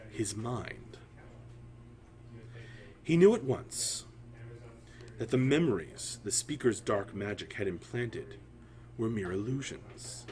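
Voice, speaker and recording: A man telling a fantasy story in a solemn, theatrical way, with deliberate phrasing and dramatic pauses. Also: medium pitch.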